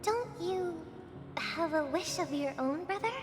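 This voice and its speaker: A woman's voice: extremely high pitch, animated, a little slow, music in the background. A woman voicing a sweet, hopeful little girl in a game, innocent and earnest. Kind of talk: scripted game voice acting.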